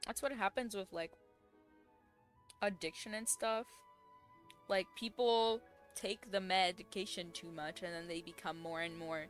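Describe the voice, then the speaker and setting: A young woman giving casual, slangy, expressive reaction commentary as she plays a game. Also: medium pitch, measured pace, long pauses, faint music behind. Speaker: game streamer.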